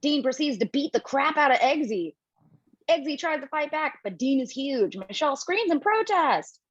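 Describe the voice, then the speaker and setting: A woman reading the stage directions in a screenplay table read, brisk and casually playful. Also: high-pitched, sing-song, no room sound. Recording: dull lo-fi home mic.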